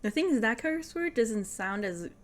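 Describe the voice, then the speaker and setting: A woman's voice: fairly high pitch, expressive, moderate pace. Swedish woman streamer thinking aloud. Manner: thinking aloud, often drops to a whisper. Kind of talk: casual puzzle game livestream.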